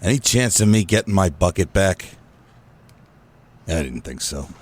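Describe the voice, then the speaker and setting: A man playing a gruff, older small-time crook and sentimental absent father in a comic noir radio play. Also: deep voice, lively intonation, a little slow. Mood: wary.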